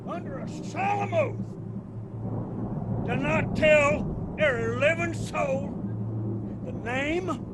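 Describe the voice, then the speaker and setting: An actor playing a fierce, menacing vigilante leader in a radio drama, declaiming an oath forcefully. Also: male voice, very high-pitched, slow pace, pauses often. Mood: menacing command. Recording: very noisy radio drama scene.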